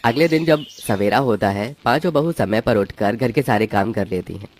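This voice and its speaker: A man's voice: on the low side, moderate pace, a little hiss or hum. A man reading scripted narration for a cartoon moral story. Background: background music.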